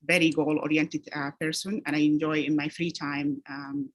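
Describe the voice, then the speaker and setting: Woman, doctor in a mock interview, low voice, halting, lots of fillers, video call audio. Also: flat delivery. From Sudan. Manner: measured, hesitant, many ums and uhs. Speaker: physician interviewee. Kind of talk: practice job interview.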